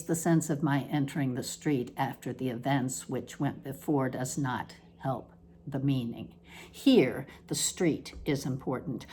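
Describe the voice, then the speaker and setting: A woman reading a scripted literary essay aloud, in a deliberate, measured manner with emphatic phrasing. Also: low voice, a little mellow, husky.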